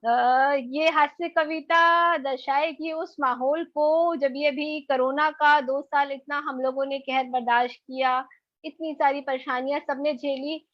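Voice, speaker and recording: A woman's voice: high-pitched, steady tone, a little slow, dry recording, lo-fi sound. Cheerful woman introducing a funny poem. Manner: cheerful, laughing, modest. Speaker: poet and co-host. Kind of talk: light humorous introduction.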